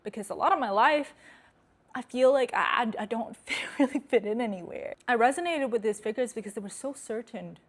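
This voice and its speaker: A woman's voice: a little high, lively intonation, a little fast. A young woman with an American accent, hosting a casual vlog in a direct, emphatic, candid way. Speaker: host. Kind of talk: casual talking-head vlog.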